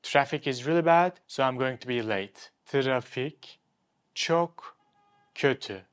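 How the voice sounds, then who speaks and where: A young man, a native Turkish speaker, giving a scripted online lesson as a teacher, explaining clearly and slowing down to spell out example phrases. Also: medium pitch.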